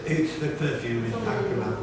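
A man's voice: fairly high pitch, sing-song, moderate pace. A man chatting casually and throwing out answers as a contestant in a pub quiz. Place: indoors in a pub. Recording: echoey, distant, loud pub chatter.

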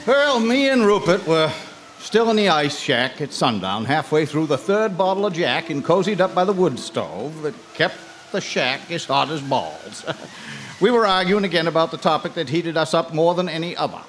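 A man's voice: on the high side, very animated, normal speed, a bit bright, slight background noise. An actor playing a hard-drinking, argumentative ice fisherman telling his tale in a folksy, wry way for radio drama. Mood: wry, exasperated. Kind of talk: live radio drama acting.

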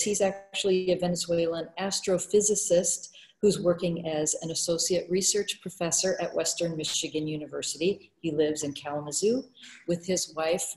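Emcee, woman in middle age, slightly low voice, introducing performers over video call. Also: a little mellow, poor audio. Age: middle-aged. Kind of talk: event introduction. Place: renovated art studio, on video call.

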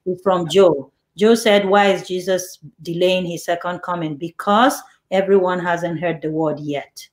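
A woman's voice: fairly low pitch, fairly even intonation, measured pace. A woman hosting an online church interview over a video call, reading out viewer questions and affirming answers. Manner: reads out viewer questions, warm laughs, affirming. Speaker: host.

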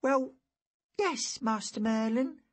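A man's voice: very high voice, slow, voice pushed up, exaggerated voice. A man voicing a timid, eager young peasant boy in an audiobook story, hesitant and deferential, with rustic grammar. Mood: sheepish, curious.

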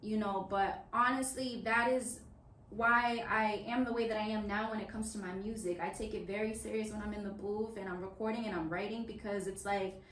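A woman's voice: medium pitch, brisk, far from the mic. A young woman from Washington, D.C., talking as a guest in a casual interview, telling stories with lots of "you know"s. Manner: animated storyteller, many "you know"s. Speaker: guest musician. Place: small office meeting room, indoors. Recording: roomy sound from off-mic, faint hum.

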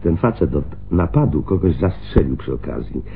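Sardonic police lieutenant, tinny radio. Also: male voice, rumbling low, very animated, moderate pace, gravelly, exaggerated voice. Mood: jovial, bossy. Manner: wry, bossy, drawling for emphasis. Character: brusque, sardonic male police lieutenant, homicide chief. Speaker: radio drama actor. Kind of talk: radio play acting. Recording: muffled, phone-like radio recording with hiss.

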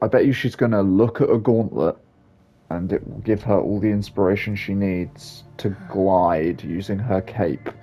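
A man's voice: on the low side, moderate pace, mellow. A man giving casual commentary over a video game as its host.

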